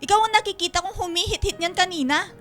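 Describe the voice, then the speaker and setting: A woman's voice: very high voice, fast, fairly bright tone, soft background music. An actress playing an exasperated young Filipino working woman, a dutiful daughter and strict older sister, in a radio drama. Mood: stern, scolding. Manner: exasperated, pleading.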